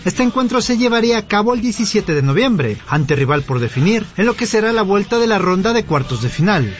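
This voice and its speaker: A man's voice: high voice, very animated, a little fast, lo-fi sound, slight background noise. A man reading a scripted sports news report in a formal newsreader style.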